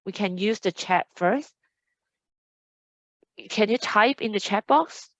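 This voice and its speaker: A woman's voice: mid-range voice, slow, fairly bright tone, dry recording, dull audio. A woman hosting an online admissions webinar as a casual chat, upbeat and chatty as she reads out audience comments. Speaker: admissions host. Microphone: video call.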